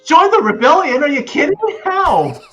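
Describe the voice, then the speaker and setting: A man voicing a restless, excitable young farm boy in an amateur table read of a film script. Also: extremely high pitch, moderate pace, music in the background, voice pushed up. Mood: incredulous. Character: restless young farm boy, eager but stuck at home.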